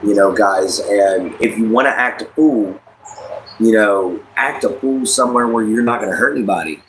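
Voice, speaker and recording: A man's voice: on the low side, normal speed, slight background noise, rough recording. An American man in his late thirties hosting a casual livestream, giving rambling, repetitive opinions and addressing listeners directly. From United States. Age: late 30s. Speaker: livestream host.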